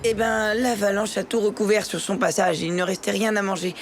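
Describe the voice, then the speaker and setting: A tired young cartoon moose. He sounds plaintive, naive and sluggish, with loud music behind him. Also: high-pitched, flat delivery, a little fast. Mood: bewildered. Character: weary, hungry, slow-witted young male moose. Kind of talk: French cartoon dub acting.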